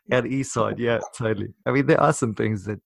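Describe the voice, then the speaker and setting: A man's voice: mid-range voice, vocal fry, a bit bright, husky, no room sound, studio quality. A South African-born man with an American-sounding accent, co-hosting a casual chat and rambling with lots of fillers and teasing. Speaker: podcast co-host.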